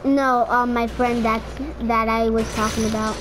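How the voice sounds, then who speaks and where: Woman, higher pitch, casual rambling gamer, filler-heavy chatter, noisy game audio. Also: level tone, moderate pace. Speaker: streamer playing in a squad. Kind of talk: casual gaming chat.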